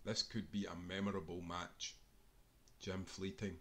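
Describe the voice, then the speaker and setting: Bright, forceful Scottish man reading aloud. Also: low voice, level tone, a little slow. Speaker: author reading own book aloud. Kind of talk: scripted narration, audiobook reading of sports history.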